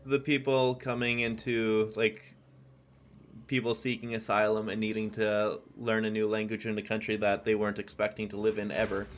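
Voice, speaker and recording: Laid-back, low-voiced man streaming a game. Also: moderate pace, faint music behind. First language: English. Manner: relaxed, rambling, talks to chat between game callouts. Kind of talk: casual chat while gaming.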